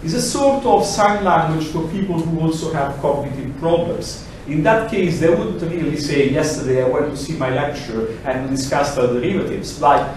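Man, Italian accent, middle-aged, animated lecture, distant camera, very echoey room. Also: on the high side, moderate pace, hardly any pauses, slight background noise. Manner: animated, gesturing, acts out examples in quoted voices. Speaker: lecturer presenting a project. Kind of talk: lively technical presentation. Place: large lecture room, indoors. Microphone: far camera, no mic.

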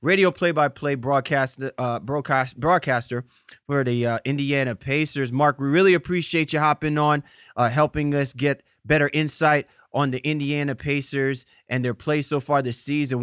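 A man hosts a sports podcast with a smooth, fairly high voice. He speaks informally and effusively, as if chatting with friends, in a dry, close sound. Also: fairly bright tone, dry recording. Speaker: podcast host. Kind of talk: conversational sports podcast interviewing.

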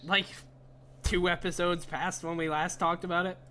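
A young adult man co-hosting a gaming podcast with casual, slangy, excitable banter over a headset. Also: sits high, normal speed. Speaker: podcast co-host and game streamer. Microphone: headset, online call. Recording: faint background noise.

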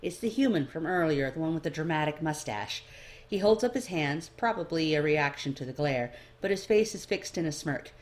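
A woman's voice: low voice, animated, normal speed. A woman reading second-person fiction aloud as a narrator. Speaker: amateur fan fiction reader.

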